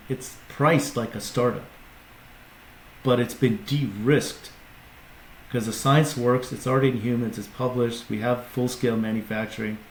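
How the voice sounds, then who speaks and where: A man's voice: fairly low pitch, slight background noise. A man, a company CEO, talking casually as a podcast guest, explaining at length with restarts and fillers. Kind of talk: casual interview talk. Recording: echoey room with faint ambience.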